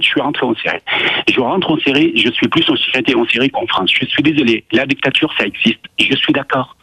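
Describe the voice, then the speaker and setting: A man in his fifties from Syria with a Syrian accent, calling a radio debate and arguing insistently in halting, repetitive non-native phrasing. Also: mid-range voice, sharp tone, husky, no echo, poor audio. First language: Arabic. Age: 50s. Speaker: phone-in caller. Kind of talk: impromptu political argument.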